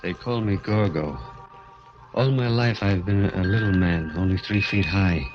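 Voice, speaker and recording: An actor playing a bitter, anguished vaudeville little person in a 1940s radio drama, intense and halting. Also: male voice, sits low, highs cut off, music in the background. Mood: bitter, brooding.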